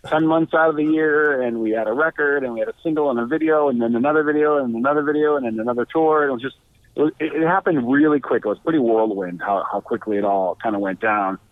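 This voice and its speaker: A man's voice: a little high, no room sound. A man telling stories as a musician guest in a chatty, loose way over a phone line. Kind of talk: casual interview storytelling.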